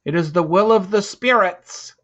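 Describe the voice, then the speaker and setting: A man's voice: high-pitched, moderate pace, a bit bright, voice pushed up. A man reading a storybook and voicing the big, solemn male leader of a band of prehistoric hunters in a declamatory way. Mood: loud, proclaiming. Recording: dull, lo-fi recording.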